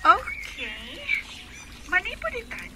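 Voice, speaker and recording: An actress playing a haughty, dismissive, whiny wife in a radio drama. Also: female voice, extremely high pitch, sing-song, slow pace, vocal fry, background noise, exaggerated voice. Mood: defiant, sulky.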